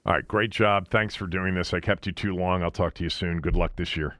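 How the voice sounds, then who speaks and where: A man's voice: sits low, steady tone, brisk, a bit bright, no room sound. A man hosting a sports show, talking casually and repeating himself for emphasis. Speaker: sports talk show host.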